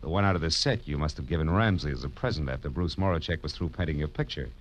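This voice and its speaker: Low-voiced hard-boiled American detective. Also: male voice, fast talker. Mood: cool, probing. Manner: tough, sardonic, punchy delivery. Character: hard-boiled wisecracking male private detective narrating his case. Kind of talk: 1940s-50s radio detective drama acting, clipped noir narration. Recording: dull vintage radio recording with music.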